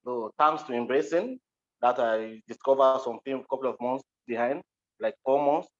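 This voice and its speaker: A man's voice: mid-range voice, normal speed. Male panel guest, Cameroonian accent, mid-range voice, hesitant and plain in delivery, heard over a dull call connection. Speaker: interview guest, interpreter. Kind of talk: recounting personal experience in an online panel. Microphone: video call. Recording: muffled, dull online call audio.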